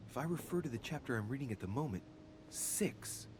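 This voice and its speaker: An actor playing a brooding young man in a video game, investigating clues and deliberately musing aloud to himself. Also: expressive, lightly acted. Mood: intent, musing. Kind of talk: dramatic video game acting, reading aloud. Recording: low rumbling background noise.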